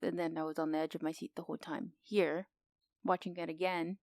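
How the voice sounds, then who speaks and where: Female co-host, enthusiastic and teasing, touch of vocal fry, dry close mic. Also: deep voice, level tone, fairly quick, darkish tone, dry recording. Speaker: podcast co-host. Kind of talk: casual film discussion.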